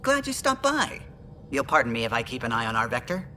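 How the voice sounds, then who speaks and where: A man's voice: medium pitch, wildly swooping pitch, fairly quick, pushed and bright, a little hiss or hum. An actor playing a male alien starship pilot in a video game, chatty, precise and fond of reminiscing. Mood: fond, proud. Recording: music behind the voice.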